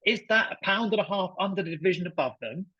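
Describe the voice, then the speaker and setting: Male podcast guest, slightly high husky voice, emphatic casual sports chat, phrases often repeated. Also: animated, fast talker, bright, forceful tone, dry recording, muffled recording.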